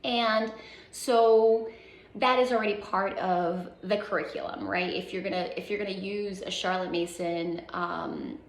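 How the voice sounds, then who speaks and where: A woman whose first language is Portuguese, explaining things informally as a homeschooling mom on a vlog, halting and reflective, often checking in with a questioning tag. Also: medium pitch, steady tone. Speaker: homeschooling mother and video host. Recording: echoey room with faint background noise.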